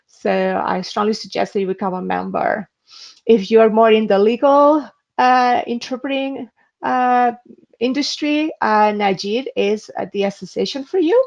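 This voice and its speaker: Gravelly-voiced woman. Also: on the high side, fairly even intonation, unhurried, darkish tone. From Spain. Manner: earnest, advisory, frequent hesitations. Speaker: interpreter giving a webinar. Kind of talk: instructional webinar talk with screen demo. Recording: dull lo-fi webinar audio.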